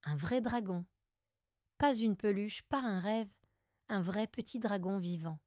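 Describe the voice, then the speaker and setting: A woman narrates a scripted story for children. Her pace is slow and her voice sits at an ordinary middle pitch. The sound is dry, with very little room around it. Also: darkish tone, no echo.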